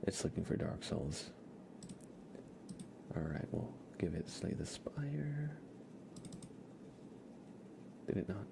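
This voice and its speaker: A man hosting a casual ASMR livestream, speaking in a soft, hushed, whispery murmur close to the microphone. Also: deep voice, sing-song, measured pace, vocal fry, noisy background.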